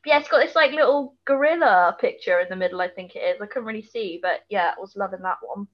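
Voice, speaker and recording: A woman's voice: mid-range voice, fast talker, lo-fi sound. A British woman chatting casually and colloquially as a podcast co-host, full of opinions while recapping television. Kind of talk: casual chat, TV episode recap.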